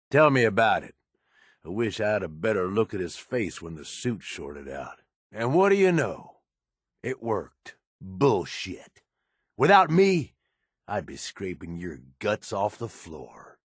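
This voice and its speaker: A man's voice: lowish voice, fairly bright tone, husky, no room sound, clean studio sound, exaggerated voice. An actor playing a cunning, blunt raider right-hand man in a video game, with an American accent and a folksy tough-guy manner. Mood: blunt, irritated. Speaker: companion character in a video game. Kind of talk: video game dialogue acting.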